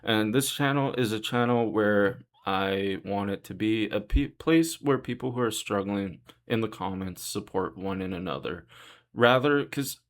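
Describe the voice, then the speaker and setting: A young man with a deep voice talking earnestly and reassuringly in a rambling vlog monologue. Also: measured pace. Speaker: vlogger. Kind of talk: casual monologue to camera.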